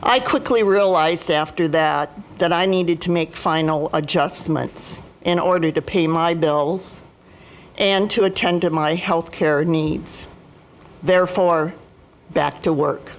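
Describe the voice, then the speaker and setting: An American woman in her seventies giving prepared personal testimony as a speaker, in a formal, deliberate and emphatic manner. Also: fairly low pitch. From United States, Midwest. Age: 70s. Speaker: union retiree chapter president, guest speaker.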